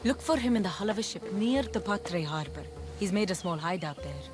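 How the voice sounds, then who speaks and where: An actress playing a compassionate, determined young woman fighter in a video game, earnest and purposeful over soft dramatic music. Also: mid-range voice, animated, a little fast, close to an everyday voice.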